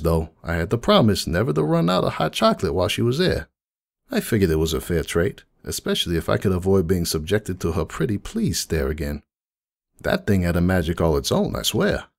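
A middle-aged stallion who runs a donut and coffee shop, acted out by a man, speaks in a lowish, gravelly voice that swings expressively. He is world-weary and folksy, confiding like a wistful storyteller in a fan-fiction audio drama, with music playing behind him. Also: exaggerated voice.

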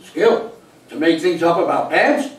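A man's voice: fairly high pitch, lively intonation, unhurried. An elderly actor playing a teasing, wisecracking grandfather in a live comic stage play. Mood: wry. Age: 70s. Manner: wisecracking storyteller. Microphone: distant camera. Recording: echoey small theater, distant camera, hiss.

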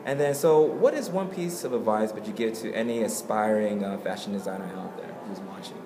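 A man's voice: on the low side, brisk. A young man hosting a casual on-camera interview at a fashion event. He is upbeat and keeps prompting his guest with questions. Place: indoors in a hotel at a fashion show. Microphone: camera mic at arm's length. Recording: echoey room with background noise.

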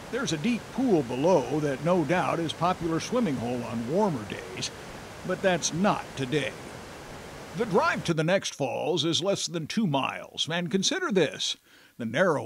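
A man's voice: a little high, a little hiss or hum. A middle-aged man hosting a travel show, narrating in an upbeat, folksy way, sometimes on camera outdoors. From Oregon. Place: outdoors in woods and by a roadside.

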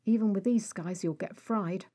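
A woman voicing an exasperated, protective male vampire in an audio story, scolding in a clipped, often hushed way. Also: mid-range voice, moderate pace, breathy edge, lightly acted. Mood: stern.

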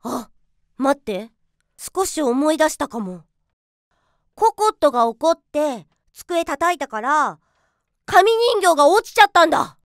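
A woman voicing a cheerful, excitable young magical schoolgirl in an anime-style voice drama. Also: high voice, measured pace, long pauses, dry recording, clean studio sound. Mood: eager recalling.

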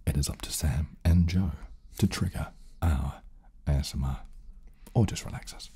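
A man's voice: rumbling low, normal speed, breathy edge. A young man hosting a whispered ASMR session, murmuring close to a studio microphone. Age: young adult. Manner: murmured, hushed, close to the mic. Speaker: host. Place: small indoor studio set. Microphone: close studio condenser microphone.

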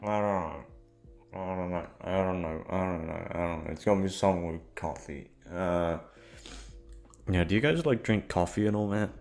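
A man's voice: deep voice, moderate pace, vocal fry, a little mellow, soft background music. A young man chatting informally to his listeners, meandering and swearing freely. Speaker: audio roleplay voice actor. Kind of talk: casual rambling chat to listeners.

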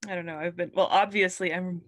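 A woman's voice: lowish voice, fairly even intonation, quick pace, fairly bright tone. A woman in her thirties speaking as a guest author, chatting casually in a hesitant, thoughtful way over a video call. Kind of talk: casual chat. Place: room at home, video call. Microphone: wired earbuds headset.

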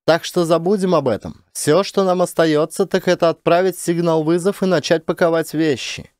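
Man putting on a high-pitched, brisk woman commander. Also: fairly even intonation, a little mellow, clear, resonant tone, no echo, clean studio sound, lightly acted. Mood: firm, persuasive. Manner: firm, pragmatic, commanding. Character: brisk, authoritative female space expedition commander.

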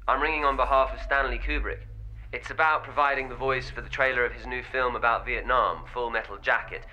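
An actor playing a businesslike director's assistant, formal, clipped and unflappable, in a radio drama. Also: male voice, mid-range voice, fairly even intonation, brisk, pushed and bright, poor audio. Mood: businesslike, insistent. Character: brisk, businesslike male assistant to a film director.

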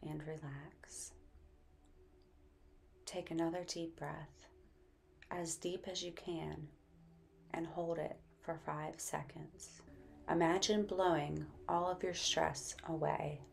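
A woman narrating a guided meditation in a gentle, soothing, unhurried manner. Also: low-pitched, little pitch movement, creaky.

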